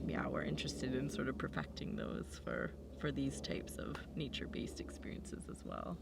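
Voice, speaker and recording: A woman's voice: a bass voice, wildly swooping pitch, moderate pace, vocal fry. A woman who runs a forest farm, chatting casually in an interview with lots of fillers and sometimes dropping to a whisper. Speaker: forest farmer. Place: outdoors on a farm. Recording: distant mic, outdoor noise with passing vehicles.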